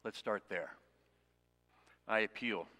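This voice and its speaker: A man's voice: lowish voice, slow pace, pushed and bright. Man preaching in a reverberant church hall. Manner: conversational, self-deprecating asides. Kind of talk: expository sermon.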